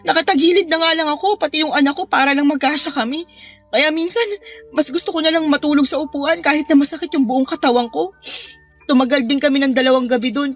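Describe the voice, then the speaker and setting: Woman acting a weary, high-voiced young mother. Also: close to an everyday voice. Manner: weary, emotional, halting delivery with sighs. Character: exhausted, frustrated young new mother pouring out her troubles. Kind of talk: audio drama acting.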